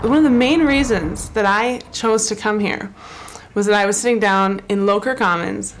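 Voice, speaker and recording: Young woman student over guitar music. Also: medium pitch. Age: young adult. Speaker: college student. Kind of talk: interview testimonial.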